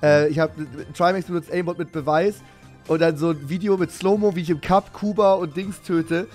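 A man streamer, hoarse from illness, in casual, joking gaming chat with friends. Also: a little high, moderate pace, soft background music. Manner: relaxed, joking banter.

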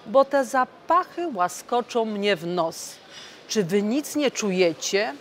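A woman voicing an old, creaky wardrobe in a children's storybook, sneezing and grumbling about her age. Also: mid-range voice, animated, takes it slowly, a little hiss or hum. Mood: sneezy. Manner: sneezing loudly.

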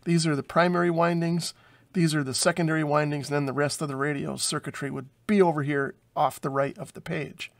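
A man in his forties speaks in a somewhat high register for a male voice. He explains things methodically and plainly, like a hobbyist presenting a hands-on tutorial. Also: steady tone, brisk, gravelly. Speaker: radio repair hobbyist and presenter. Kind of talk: explanatory tutorial talk to camera.

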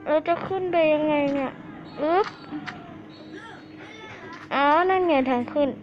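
High-pitched young gamer, slow and playful. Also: dull audio, music in the background. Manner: sing-song humming and self-chatter. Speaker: gaming channel host. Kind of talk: playful Minecraft play-along commentary.

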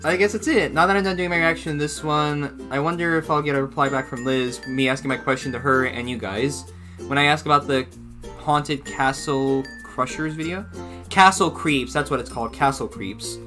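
A man's voice: fairly high pitch, moderate pace, sharp tone, faint music behind. A young man giving casual reaction commentary in a rambling way, often repeating and rephrasing himself, recorded in a small room at home. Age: young adult. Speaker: reaction video host.

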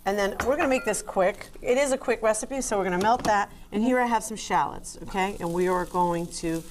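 A woman's voice: medium pitch, fairly quick, a little hiss or hum. A middle-aged woman, a guest chef chatting through a cooking demo in a TV studio kitchen on a lapel mic. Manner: chatty, explains steps as she cooks.

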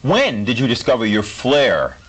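A male talk show host with a husky, slightly rough voice and lively pitch movement. He puts questions politely and hesitantly, keeping a straight face in a comic interview. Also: a little slow, low-quality recording.

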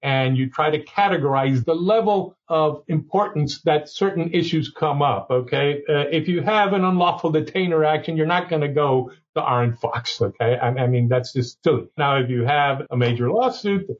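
A man's voice: on the high side, normal speed, hardly any pauses, lo-fi sound. A Cuban-born man who is a company's chief legal counsel, speaking as a guest in a candid, folksy way and often tossing in "okay?". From Cuba. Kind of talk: conversational interview.

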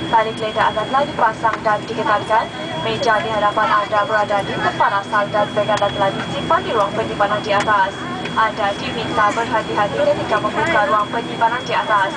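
A woman with a Malaysian accent reciting a formal, scripted cabin announcement as a flight attendant. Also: medium pitch. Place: inside a propeller plane cabin. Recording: cabin PA under loud aircraft engine noise. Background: loud propeller engine drone.

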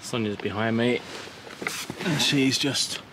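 A man's voice: mid-range voice, slow pace, background noise. A man chatting casually and playfully as he narrates a vlog outdoors. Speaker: vlog host. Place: outdoors by a river and boat. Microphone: handheld camera, operator behind it.

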